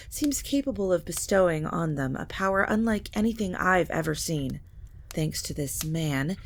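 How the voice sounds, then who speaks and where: A stately, centuries-old vampire matriarch, acted by a woman for an audio drama. Her voice sits a little low and her delivery is solemn, formal and commanding. Some noise sits behind the recording. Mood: ominous. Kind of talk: scripted audio drama acting.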